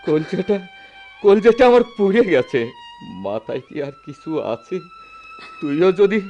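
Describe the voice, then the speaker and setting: A man's voice: high-pitched, animated, a little slow, warm tone, lo-fi sound, soft background music. A man acting an anguished, poor village father in a radio drama, lamenting in a rural Bangladeshi accent. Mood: weeping grief. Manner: emotional, lamenting rustic speech.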